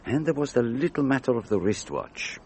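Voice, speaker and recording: An actor playing a brilliant, self-assured detective in a radio drama, precise and theatrical. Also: male voice, medium pitch, expressive, fairly quick, a little hiss or hum, natural voice. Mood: explaining, deliberate. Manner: precise, theatrical, gently didactic.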